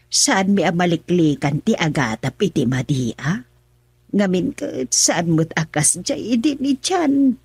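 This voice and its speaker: Husky, worried older mother. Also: lowish voice, expressive, measured pace, a little mellow, no room sound, exaggerated voice. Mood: concerned, probing. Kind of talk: radio drama acting.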